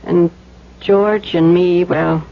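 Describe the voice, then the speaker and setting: An actress playing a concerned woman who gently presses and coaxes, in a 1970s radio drama. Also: on the low side, steady tone, slow, creaky. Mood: worried, confessing. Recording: dull 1970s radio recording.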